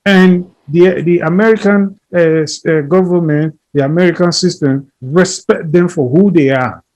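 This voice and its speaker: A man's voice: fairly high pitch, measured pace, a little mellow. A man as an interview guest, speaking earnestly and emphatically, often repeating phrases and building lists. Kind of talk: earnest conversational advocacy.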